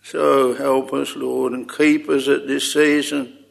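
A man's voice: medium pitch, flat delivery, slow pace, vocal fry. A man leading an extempore public prayer, pleading earnestly in short repeated phrases with old-fashioned thee and thou wording. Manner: short repeated phrases, trailing soft endings.

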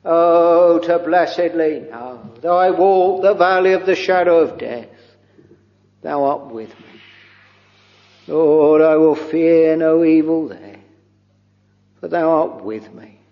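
A man leading a slow, pleading extempore prayer from the pulpit, intoning in old-fashioned English with many interjections of "oh" and "Lord". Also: a little high. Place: church or chapel. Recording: dull lo-fi recording with long hall reverb.

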